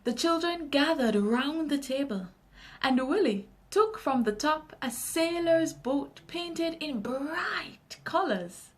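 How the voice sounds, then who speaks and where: A woman with a Jamaican accent reading a children's story aloud in an expressive, unhurried way. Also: sits high.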